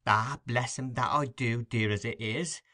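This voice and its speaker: Man reader doing fawning scheming matron, high shrill put-on voice, wheedles and gushes. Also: measured pace, sharp tone. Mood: coaxing. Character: fawning scheming middle-aged woman nurse.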